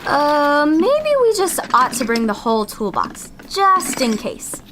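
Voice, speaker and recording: A woman's voice: high voice, big pitch swings, slow, background noise, exaggerated voice. A young woman playing an exasperated, sensible teenage girl in an amateur audio drama. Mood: bossy, annoyed. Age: young adult. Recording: music behind the dialogue.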